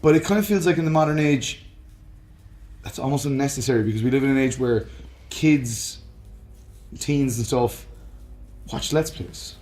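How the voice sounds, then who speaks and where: A man's voice: medium pitch, normal speed, long pauses, a little mellow, echoey room. A man chatting casually and enthusiastically as a podcast host, opinionated and free with swearing. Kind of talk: casual chat about games and films.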